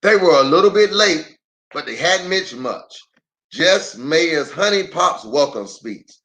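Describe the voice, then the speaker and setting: A man reads a children's story aloud as an expressive storyteller. His voice sits a little high and moves up and down freely, at a slow, measured pace. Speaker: pastor reading a storybook.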